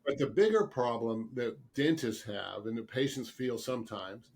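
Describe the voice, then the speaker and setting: A man speaks as a guest expert in an interview, explaining things earnestly. His mid-pitched voice is slightly rough as he gets over a cold, and he repeats words for emphasis. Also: animated, normal speed, a little mellow. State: getting over a cold, throat clearing and coughing. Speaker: guest expert, dentist specializing in dental sleep medicine.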